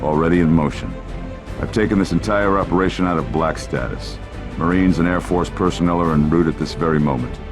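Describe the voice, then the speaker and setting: An actor playing a stern older military commander in a video game, terse and authoritative, with music behind him. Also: male voice, sits low, a little fast, lightly acted. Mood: commanding. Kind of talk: video game cutscene acting.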